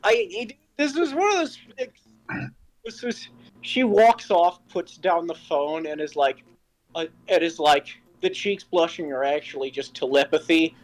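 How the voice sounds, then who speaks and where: A co-host of a fan fiction reading show chatting casually, rambling and halting through jokes and critique. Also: medium pitch, poor audio. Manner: rambling, halting, animated joking. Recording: music playing underneath. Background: music.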